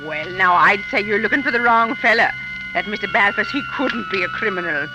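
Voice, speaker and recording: A woman's voice: mid-range voice, lively intonation, fairly quick, close to an everyday voice, low-quality recording. An actress playing a folksy, trusting landlady who talks protectively in an old radio drama. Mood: chatty, earnest. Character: chatty, trusting landlady with a rented front room. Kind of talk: vintage radio drama acting. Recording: old radio recording with music behind.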